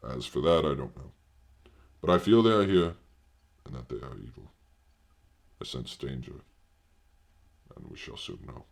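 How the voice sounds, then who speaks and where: Guy doing grave pedantic ghost hunter doctor, horror radio play, super low gravelly voice, swells and dips a lot. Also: exaggerated voice. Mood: ominous. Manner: solemn, formal, halting, pedantic. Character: grave, earnest older doctor who hunts apparitions. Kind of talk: audio horror drama acting.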